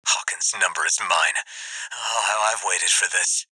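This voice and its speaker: A bright, crisp mercenary officer. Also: male voice, low-pitched, a little slow, no room sound, exaggerated voice, low-quality recording. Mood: smug, scheming. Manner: formal, crisp, polite. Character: smooth, ambitious corporate mercenary officer, polite but scheming. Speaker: military liaison giving mission briefings. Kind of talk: video game voice acting, military briefing.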